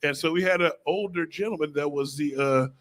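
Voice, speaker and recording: A man from St. Louis co-hosting a casual sports chat, telling stories in a jokey, halting, repetitive way. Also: fairly high pitch, a bit bright. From St. Louis, United States. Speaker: sports talk co-host, former football player.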